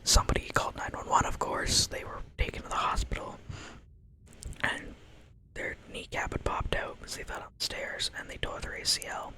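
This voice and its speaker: A woman's voice: normal speed, low-quality recording. Young woman whispering a rambling story. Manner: soft whisper, rambling with many asides. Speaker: ASMR storyteller. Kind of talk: whispered ASMR storytime while gaming.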